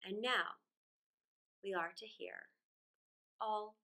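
A middle-aged woman reading a children's story aloud in a theatrical, deliberate way, drawing out words with dramatic pauses. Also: medium pitch, pushed and bright. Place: small indoor room against a plain wall.

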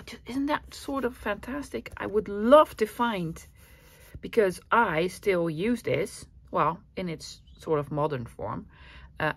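A woman's voice: medium pitch, a bit bright, no echo. A woman from the Netherlands with a Dutch accent, chatting casually as she shows off a craft supplies haul, gushing and enthusiastic. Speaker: craft vlogger.

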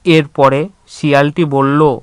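A man's voice: a little high, flat delivery, takes it slowly. A man narrating a scripted children's story as its storyteller. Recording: loud background noise, animal sounds.

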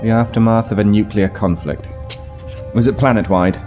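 A man's voice: on the low side, moderate pace, a little mellow, soft background music, natural voice. A man playing a serious, questioning starship captain, direct and businesslike, in a sci-fi audio drama. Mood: concerned.

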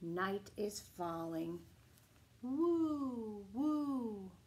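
A middle-aged woman reading a picture book aloud as a children's storytime host, with a deliberate, singsong delivery. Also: medium pitch, animated, darkish tone. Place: small room at home, indoors. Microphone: camera a few feet away.

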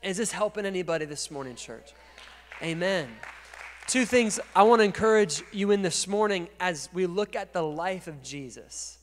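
Male pastor, 30s, higher register, energetic sermon delivery into a handheld mic, echoing church hall. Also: normal speed, a faint crowd, slight background noise. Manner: energetic preaching, gesturing and moving on stage, swings from quiet to loud. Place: large dark church stage. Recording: long reverb of a large church hall.

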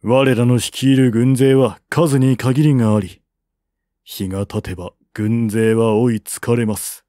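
Man reading as a grave, cautious general, slow. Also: medium pitch, fairly even intonation, dark tone, dry recording, clean studio sound, natural voice. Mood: grave, reasoning. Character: grave, cautious male army general advising his lord.

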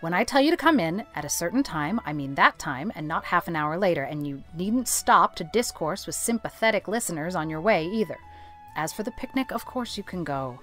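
A woman's voice: on the low side, expressive, brisk, soft background music, lightly acted. A woman voicing a stern, practical older spinster guardian, brusque and scolding, in an audiobook. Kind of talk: audiobook character acting.

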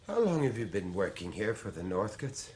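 An actor playing an earnest gentleman, polite, formal and urgent, in a 1970s radio play. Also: fairly low pitch, sing-song, moderate pace, husky. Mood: earnest pleading. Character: earnest, courteous gentleman inquirer. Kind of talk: 1970s British radio drama acting. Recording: noisy old radio play recording.

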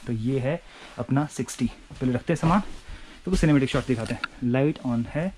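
A man's voice: mid-range voice, a little fast. A young man vlogging his train trip to camera, chatty and mixing Hindi with English phrases, filmed on a handheld camera at arm's length on a railway platform and in a coach. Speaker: travel vlogger. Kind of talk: casual vlog narration to camera. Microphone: handheld action camera at arm's length. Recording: noisy station ambience.

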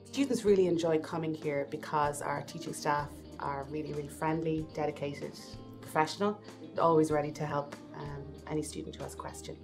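Deep voice lady, school promo, music loud behind. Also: steady tone, a little fast. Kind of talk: promotional talking-head.

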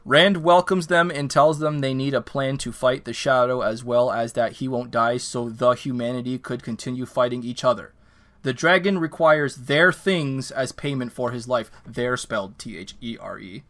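A Canadian man co-hosting a podcast, chatting casually, ranting with animated, self-mocking energy. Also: mid-range voice, moderate pace. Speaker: podcast co-host. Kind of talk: casual chat. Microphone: video call.

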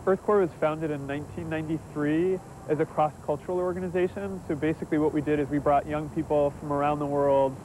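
Fast-talking man interviewed outdoors. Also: on the high side, little pitch movement, a little mellow, slight background noise, poor audio. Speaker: executive director of an environmental group.

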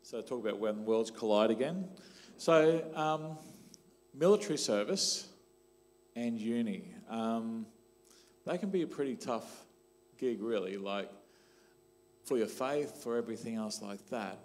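A man's voice: medium pitch, a little slow, a little mellow, soft background music. A middle-aged Australian man, a church leader interviewing a young member on a church stage through a handheld mic, conversational with "um" and "sort of". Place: church stage, large hall.

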